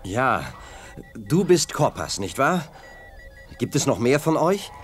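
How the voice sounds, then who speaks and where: An actor playing a brave, reassuring young hero in a children's sci-fi radio play, earnest and decisive. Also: male voice, mid-range voice, sing-song, slow pace, soft background music.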